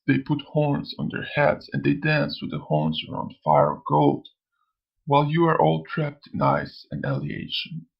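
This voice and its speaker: Man acting sly old male ghost, motion comic, gravelly and fairly high, theatrical preacher, mocking and amused. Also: muffled recording, exaggerated voice. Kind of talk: scripted motion comic dialogue.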